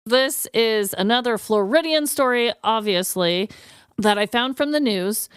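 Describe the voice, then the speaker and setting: A woman co-hosting a podcast, telling stories in an informal, expressive way. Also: on the high side, unhurried, clear, resonant tone, no echo, studio quality. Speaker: podcast co-host. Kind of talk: casual chat and scripted storytelling.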